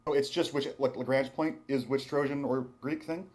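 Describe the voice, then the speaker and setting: A man's voice: medium pitch, steady tone, normal speed, a little mellow. A man in a casual group chat, tossing in joking interjections.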